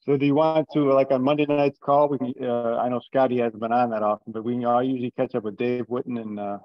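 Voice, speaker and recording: A man's voice: mid-range voice, flat delivery, fast talker, dry recording. Man on a dry, muffled conference call. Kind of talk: casual meeting talk. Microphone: online conference call. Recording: dry, dull band-limited call audio.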